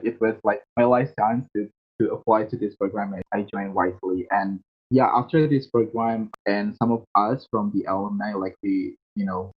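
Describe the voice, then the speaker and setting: A man from Indonesia, a network co-founder, telling his story in a halting way with many fillers. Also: fairly low pitch, slightly rough, lo-fi sound. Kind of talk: panel conversation.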